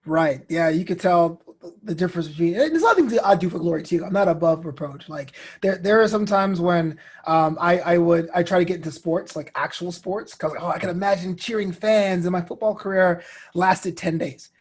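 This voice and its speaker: A man's voice: high voice, moderate pace, slightly rough. A man in his thirties from Brooklyn with an American accent, talking candidly and telling stories as an interview guest. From Brooklyn, United States. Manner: animated storytelling, acts out inner quotes. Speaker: interview guest, comedian and host.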